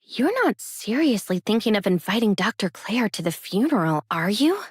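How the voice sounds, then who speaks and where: A woman's voice: medium pitch, very animated, moderate pace, breathy edge, no echo, studio quality. A woman playing a composed, considerate young heroine in an anime game, polite and straightforward. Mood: curious, practical. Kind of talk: scripted anime game dialogue.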